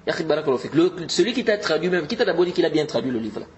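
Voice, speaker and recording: A man's voice: fairly high pitch, expressive, racing, slight background noise. A man giving an informal religious lesson, exhorting his listeners and often repeating his points with Arabic religious terms mixed in. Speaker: religious teacher.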